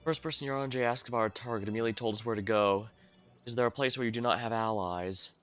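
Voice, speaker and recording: A man's voice: medium pitch, quick pace, a bit bright, close to an everyday voice. A man voicing a courteous video game traveller who speaks in formal, old-fashioned phrases. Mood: awed.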